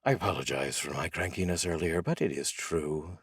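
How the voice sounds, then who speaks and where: Male poetry host and voice actor, very low husky register, wry and deliberate, recorded close and dry. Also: fairly bright tone, no echo. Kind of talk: informal spoken intro and poetry reading.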